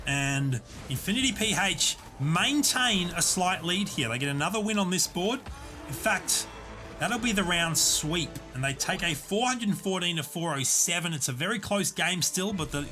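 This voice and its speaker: A man casting a live online game tournament, giving steady, explanatory play-by-play. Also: a little high. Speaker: esports caster and streamer. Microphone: desk USB condenser mic.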